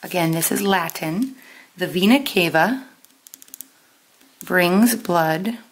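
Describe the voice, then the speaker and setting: A woman teaching a step-by-step lesson in a deliberate, instructional way, pausing and stressing key terms. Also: fairly low pitch, breathy edge, poor audio. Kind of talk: step-by-step lesson with pauses for note-taking.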